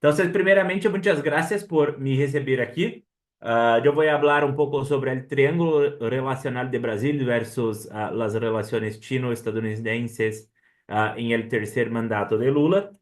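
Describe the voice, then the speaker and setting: A Brazilian professor presents at an academic seminar in his second language. His accent mixes Portuguese with a little Colombian Spanish, and he often hesitates with a drawn "ah". His voice sits at an ordinary middle pitch for a man. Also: measured pace. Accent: Brazilian Portuguese, with some Colombian Spanish.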